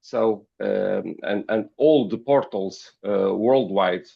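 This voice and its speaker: A man with a Portuguese accent speaks slowly in a gravelly voice, hesitating and repeating words as he answers as an interview guest. The recording is dull and lo-fi. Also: lowish voice. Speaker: podcast guest, company founder.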